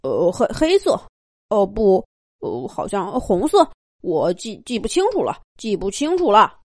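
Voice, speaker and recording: A woman's voice: medium pitch, huge pitch swings, unhurried, dry recording, clean studio sound. A woman voicing a timid, evasive young male grey rabbit in a children's story, mumbling and stammering with vague, hesitant answers. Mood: nervous, evasive.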